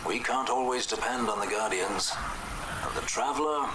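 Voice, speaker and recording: An actor voicing a proud, dry-witted male veteran officer in a video game cutscene, speaking in a composed, wry, gently self-satisfied way. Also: medium pitch, normal speed, heavy background noise, natural voice.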